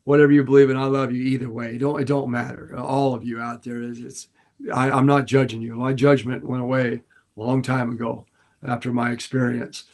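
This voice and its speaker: Raspy older man, earnest storyteller. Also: medium pitch, brisk. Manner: earnest, animated storytelling with laughs. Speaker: podcast co-host. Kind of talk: casual podcast chat.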